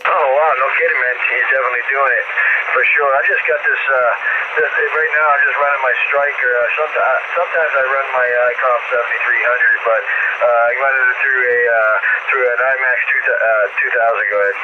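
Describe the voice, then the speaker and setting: Muffled, heavy-noise radio voice: American man, halting casual operator chat with jargon. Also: mid-range voice, steady tone. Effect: two-way radio. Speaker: radio hobbyist. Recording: muffled, very noisy radio reception. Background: loud radio static.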